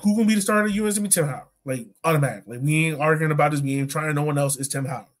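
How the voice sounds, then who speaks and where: American male podcast co-host, higher-pitched, casual and emphatic, conversational sports talk. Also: rapid-fire, soft background music. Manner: colloquial, emphatic, repeats points for effect.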